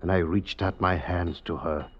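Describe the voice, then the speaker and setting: A man's voice: sits low, fairly even intonation, normal speed, lightly acted. A man playing a scheming, coaxing stonemason with folksy old-country speech in an old radio drama. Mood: wistful, hushed. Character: scheming male stonemason, sly, coaxing poisoner. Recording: muffled, noisy vintage radio recording.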